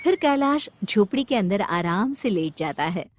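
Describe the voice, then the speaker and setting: A woman narrating a scripted horror story with an expressive, dramatic delivery. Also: medium pitch, normal speed, loud music behind the voice.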